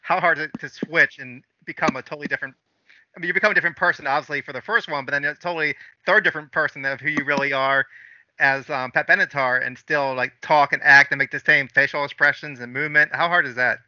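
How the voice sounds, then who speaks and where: A man asks quick, eager questions in a tense, very bright voice, and the words tumble out fast. His agreements are short. The sound is dry and a little dull. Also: fairly high pitch, steady tone, no echo. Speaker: interview host. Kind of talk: casual interview chat.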